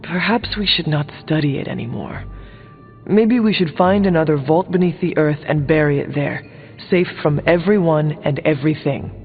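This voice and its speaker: An actor playing a solemn, blunt, ancient resurrected warrior in a video game, speaking formally and calmly. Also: male voice, a little high, close to an everyday voice. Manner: formal, measured, few contractions. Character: centuries-old resurrected male warrior, solemn, blunt, calm. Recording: phone-like thin audio, music behind.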